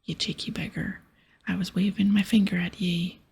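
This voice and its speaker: Blustering pirate guard for a video game acted by a woman, very breathy whisper, piratical boasting. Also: medium pitch, level tone, moderate pace, darkish tone. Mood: indignant. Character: blustering half-wooden male pirate lookout. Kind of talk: whispered ASMR game dialogue.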